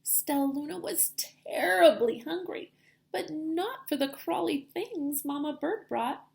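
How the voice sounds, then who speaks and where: A woman with a high voice reads aloud as a primary-style teacher would. Her delivery is expressive and dramatic, shaped for children following a storybook. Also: a little slow, vocal fry. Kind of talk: children's picture book read-aloud.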